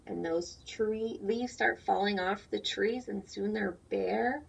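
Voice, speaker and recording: A woman's voice: medium pitch, slow, gravelly, breathy edge. A woman teacher doing a read-aloud lesson for young children, explaining things simply and putting questions to her listeners. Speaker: teacher reading a picture book aloud to children. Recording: dull, lo-fi sound, distant from mic.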